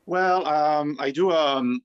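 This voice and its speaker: A man from Tunisia answering interview questions conversationally as a guest, in halting, thoughtful phrases over an online call. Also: a little high, clear, resonant tone, dull audio. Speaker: guest, professional free diver.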